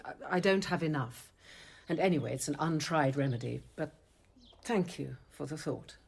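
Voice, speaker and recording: A woman's voice: low voice, lively intonation, moderate pace, creaky, a little mellow, slightly rough, exaggerated voice. A woman playing a guarded, learned convent apothecary nun in a radio drama, with precise, formal delivery. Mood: stern, guarded. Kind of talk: radio drama acting and narration.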